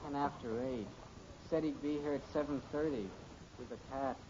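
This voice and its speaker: An actor playing an earnest, stubborn and gullible teenage son in a 1950s radio sitcom, with boyish, stammering protests. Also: male voice, medium pitch, normal speed, dark tone, natural voice. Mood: wistful. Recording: muffled, hissy old radio recording.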